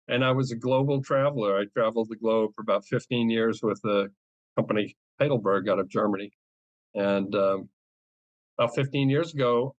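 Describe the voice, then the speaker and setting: A man's voice: lowish voice, no echo, highs cut off. Rambling Boston-accented man, dry and close. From New England. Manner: rambling and enthusiastic, many ums and restarts. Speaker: guest, cyber insurance advisor. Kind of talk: casual podcast interview.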